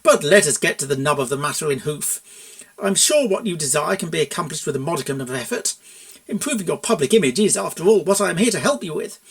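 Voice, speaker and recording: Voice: mid-range voice, animated, fast talker, gravelly. A performer doing a pompous, polite middle-aged stallion. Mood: courteous, businesslike. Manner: polite, pompous, flowery wording. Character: suave, verbose middle-aged stallion image consultant.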